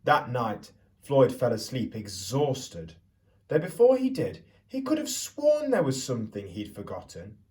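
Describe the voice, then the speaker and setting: A man reading a picture book aloud to young children as a teacher would, theatrically, pausing to put questions to them. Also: mid-range voice, huge pitch swings, a little mellow, recorded from a distance. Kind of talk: expressive children's storybook reading with questions to listeners.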